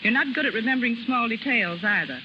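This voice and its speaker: A woman's voice: on the high side, animated, fast. A woman guest telling scripted anecdotes on 1930s radio in a dry, wry manner. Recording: noisy, muffled 1930s radio broadcast.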